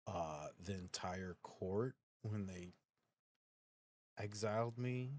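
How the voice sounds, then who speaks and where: A man playing a dejected, bitter exiled racer in a tabletop game, speaking haltingly and repeating his words. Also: lowish voice, a little mellow. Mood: bitter, defeated. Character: exiled racer, dejected, bitter, bewildered young nobleman's outcast. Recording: music behind the voice.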